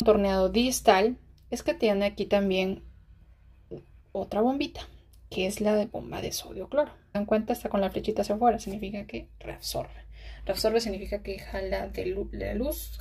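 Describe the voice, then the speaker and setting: Gravelly-voiced woman tutoring pharmacology. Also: mid-range voice, darkish tone. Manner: checks in often with rhetorical questions. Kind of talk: explaining a diagram while writing.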